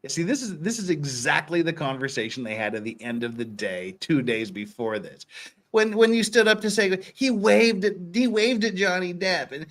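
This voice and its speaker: A man hosting a dramatic reading of courtroom dialogue, theatrical and animated, with casual asides. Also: fairly high pitch.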